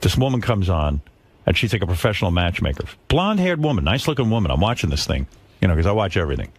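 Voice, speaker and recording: A man's voice: low-pitched, big pitch swings, fast, breathy edge. A man hosting a talk radio show, chatting in a blunt, teasing, crude and bantering way.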